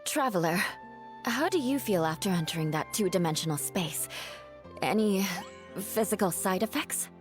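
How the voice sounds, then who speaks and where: A woman voicing a calm, caring, observant young woman in a video game, measured and considerate. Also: medium pitch, soft background music, lightly acted. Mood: concerned.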